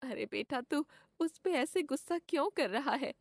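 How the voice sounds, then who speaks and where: A woman's voice: sits high, expressive, normal speed, dry recording, clean studio sound, voice pushed up. A woman voicing a kindly magical old woman in a cartoon fairytale, speaking in a soothing, motherly way. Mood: concerned, comforting. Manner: soothing, motherly, addresses listener as son. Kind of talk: Hindi cartoon fairytale acting.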